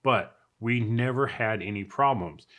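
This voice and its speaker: A man's voice: on the low side, a little slow, a bit bright. An American man speaking conversationally, giving casual advice and opinions as a product reviewer talking to viewers. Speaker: product reviewer and off-road video host. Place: indoors in a house.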